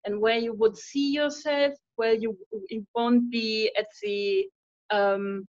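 A woman's voice: a little high, fairly even intonation, takes it slowly, a little mellow, no room sound. A woman in her thirties with a German accent, a university lecturer answering interview questions earnestly with many fillers and restarts over a video call. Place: indoor room at home. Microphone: laptop webcam mic on a video call. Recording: dull lo-fi call audio.

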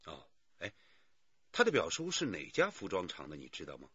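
A watchful detective in a radio crime drama. He coaxes answers in a measured way, his husky, forceful voice swinging widely in pitch. The recording is dry and a bit dull. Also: wildly swooping pitch, no room sound, full character voice. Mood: watchful, questioning. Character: calm, watchful police detective interviewing a witness.

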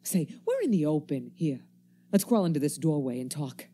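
Low, swooping, cynical survivor. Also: female voice, big pitch swings, normal speed, dark tone, slight background noise. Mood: grim, resigned. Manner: folksy, clipped slangy speech, cynical. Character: wary, embittered survivor stranger armed with a knife. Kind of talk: radio play acting.

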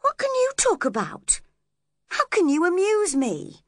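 A woman's voice: very high-pitched, wildly swooping pitch, normal speed, pushed and bright, dry recording, studio quality, voice pushed up, full character voice. A woman voicing a spoilt, hot-tempered young woman in a storybook, cross, emphatic and imperious.

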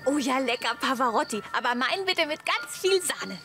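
An actress playing a fawning middle-aged camper wife who gushes enthusiastically in a German radio comedy. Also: female voice, high-pitched, expressive, normal speed, music in the background. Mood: giddy, eager.

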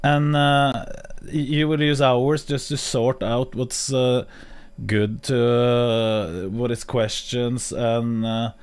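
A Norwegian-accented man in his thirties talks to his live chat at an unhurried, halting pace, full of "uh" and restarts. He speaks close into a studio microphone at his home streaming desk. His voice sits at an ordinary pitch for a man. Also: steady tone, clear, resonant tone. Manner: slow, hesitant, many fillers and restarts. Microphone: studio mic on boom arm, headphones.

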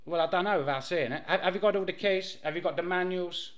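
Bright-voiced pushy English man. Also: high-pitched, fast. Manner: pushy, rambling, repeats phrases. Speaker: prank caller posing as a fruit machine dealer. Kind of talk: phone haggling prank call.

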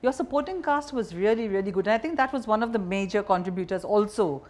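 A woman in her fifties with an Indian accent, hosting a conversational interview with measured, probing questions. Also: mid-range voice, darkish tone. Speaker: interview host. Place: indoor wood-panelled bar lounge set.

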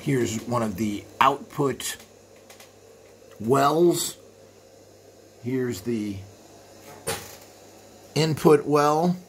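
A man's voice: mid-range voice, very slow, pauses often. A man hosting an informal hands-on walkthrough, explaining things in a rambling way as he films indoors. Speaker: host of a vintage equipment video channel. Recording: clear background noise, room ambience.

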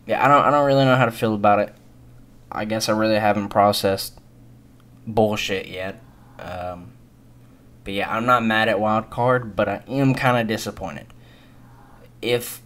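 A man's voice: on the low side, fairly bright tone. A man of twenty-one giving casual, unscripted gaming commentary in a hesitant, rambling and resigned manner. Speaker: gaming video creator.

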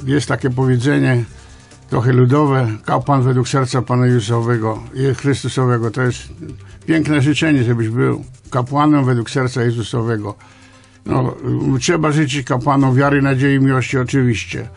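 An older man, a priest, answering in a radio interview in a deliberate, halting, didactic way. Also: medium pitch, fairly even intonation, creaky, faint music behind. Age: older, around 70. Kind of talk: interview answers, explaining church liturgy. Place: small radio studio, indoors. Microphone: studio microphone on boom arm.

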